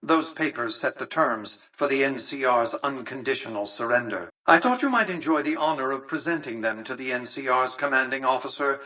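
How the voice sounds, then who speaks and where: Imperious, husky-edged, bright-voiced old tycoon. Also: male voice, mid-range voice, animated, a little fast, hardly any pauses, recorded from a distance, low-quality recording. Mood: smugly satisfied. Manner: formal, articulate, condescending, dryly superior. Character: cold, imperious old male tycoon ruling a casino city. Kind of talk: scripted video game character dialogue.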